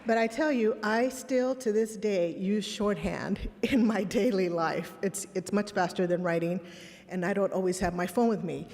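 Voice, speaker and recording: A woman's voice: mid-range voice, normal speed, darkish tone. A middle-aged woman whose first language was Spanish, giving a candid, reflective keynote with personal stories and rhetorical questions. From Texas border town, raised in Los Angeles. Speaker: conference speaker. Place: conference hall. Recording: long reverb in a large hall.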